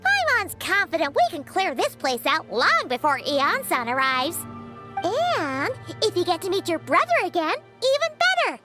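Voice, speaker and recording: A squeaky, childlike fairy companion for an anime-style game. The voice sits extremely high and bounces around in pitch, chirpy and exclaiming. Music plays clearly behind it. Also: female voice, wildly swooping pitch, moderate pace, heavily acted. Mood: eager, confident. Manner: chirpy, exclaiming, refers to self in third person. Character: Paimon, small excitable childlike female fairy companion.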